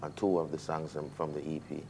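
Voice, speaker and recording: Man, very low voice, Caribbean accent, laid-back and hesitant, TV studio. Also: quick pace, creaky, slight background noise. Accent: Caribbean English, Jamaican-influenced. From US Virgin Islands. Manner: relaxed, hesitant, many fillers. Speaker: reggae artist guest. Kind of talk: casual interview chat. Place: TV studio set.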